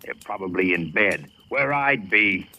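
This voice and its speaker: Bright, forceful, wry police detective. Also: male voice, low-pitched, expressive, unhurried, exaggerated voice. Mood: wry, impatient. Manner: blunt, sardonic, folksy. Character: skeptical, wry, gruff male police detective. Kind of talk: 1940s radio drama acting. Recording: noisy old radio recording with clicks.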